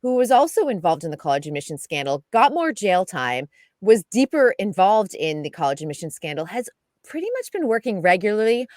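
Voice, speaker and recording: A woman giving a chatty, lively entertainment news segment on a radio show, laughing often. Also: mid-range voice, a little fast, dry recording, clean studio sound. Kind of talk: casual radio chat.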